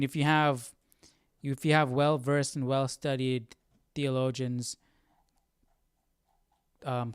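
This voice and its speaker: A man's voice: mid-range voice, clean tone, no echo, clean studio sound. A man hosting a live study stream, talking casually and thinking aloud in a hesitant, musing way as he searches for words.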